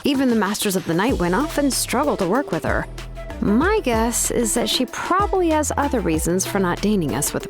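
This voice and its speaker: A woman playing a frank, easygoing young tribeswoman in a fantasy video game, talking casually and conversationally. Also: on the high side. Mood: resigned, explanatory.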